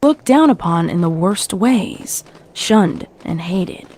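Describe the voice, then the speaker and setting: Lowish woman narrating a story. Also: animated, slow, a little mellow. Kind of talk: scripted narration of a horror fan fiction.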